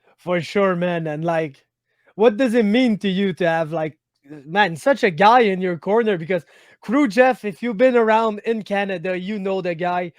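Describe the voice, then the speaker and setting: A man's voice: high voice, normal speed, no echo, studio quality. A man from Quebec with a Quebec French accent, hosting a casual interview, chatty and friendly. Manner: friendly, chatty, says "my man", rambling questions. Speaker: interviewer, host.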